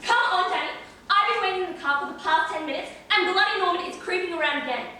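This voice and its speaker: A woman's voice: extremely high pitch, fast talker, bright, forceful tone. A young woman playing an exasperated, histrionic young wife in an amateur stage play. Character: fed-up, melodramatic young wife, tearful and shrill. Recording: echoey hall, far mic, background noise.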